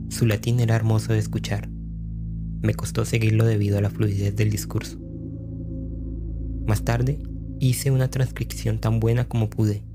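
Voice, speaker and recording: A man reading a horror story as scripted, dramatic audiobook narration. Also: on the low side.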